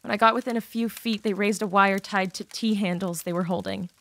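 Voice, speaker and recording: A woman's voice: medium pitch, fairly even intonation, fairly quick, a bit bright, lightly acted. Woman acting as a wounded, confessional teenage girl. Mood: pained recounting. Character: abused teenage girl confessing her painful past. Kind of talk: dramatized audiobook acting.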